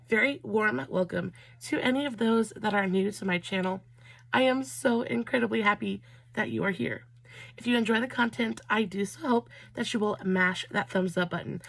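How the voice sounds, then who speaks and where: A woman vlogger, slightly hoarse from a cold, chatting effusively while doing her makeup and telling a story. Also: mid-range voice, no echo, poor audio. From Southern United States. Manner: warm, effusive, drawn-out emphatic phrasing. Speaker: beauty vlogger.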